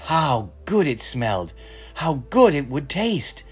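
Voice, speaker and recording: A man reading a children's story aloud in a measured, expressive storytelling manner. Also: a little high, breathy edge, faint music behind. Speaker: story reader.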